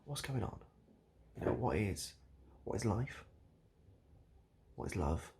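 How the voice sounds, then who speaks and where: Deep gravelly British man, rambling deadpan. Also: slow. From United Kingdom. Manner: hesitant, rambling, repetitive, deadpan. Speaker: comedic video host. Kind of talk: improvised absurd comedy roleplay addressed to the viewer.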